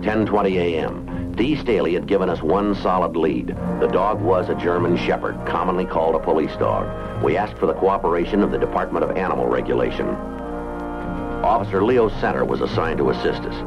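Stoic police detective: extremely low voice, clipped deadpan delivery, muffled old-time radio sound. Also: male voice, fairly quick, over music. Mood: terse, matter-of-fact. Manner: clipped, deadpan, matter-of-fact. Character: stoic, methodical male police detective sergeant. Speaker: lead actor and narrator. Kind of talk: terse police radio drama narration and dialogue.

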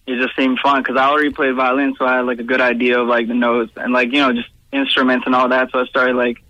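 A young man talks quickly and easily, his words running together in a relaxed, filler-heavy flow. His voice stays fairly level, with little rise and fall. The sound is dry and a little muffled. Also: mid-range voice. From Pakistan-born, raised in Virginia and Toronto area. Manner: laid-back, loose, heavy on "like" and "you know what I'm saying". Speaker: guest musician. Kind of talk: casual interview answers.

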